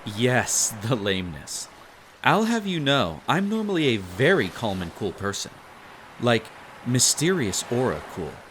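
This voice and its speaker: Man playing a slow-talking, expressive, smitten young barista. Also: medium pitch, slight background noise. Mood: bashful, playful. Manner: playful, bashful, rambling. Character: smitten young male barista on a beach outing, teasing, caring. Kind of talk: intimate roleplay audio acting.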